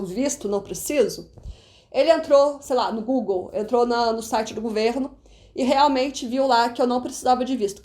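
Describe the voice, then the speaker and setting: A young Brazilian woman with a Brazilian Portuguese accent, chatting animatedly to camera as a travel vlogger, peppering her story with little tag questions. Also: sits high. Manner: animated, chatty, frequent "né" tags.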